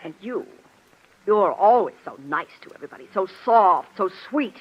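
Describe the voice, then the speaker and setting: An actress playing a jealous young woman, sharp and commanding, secretly scheming, in old-time radio drama style. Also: medium pitch, lively intonation, normal speed, close to an everyday voice. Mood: irritated, cutting. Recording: muffled, noisy vintage radio recording.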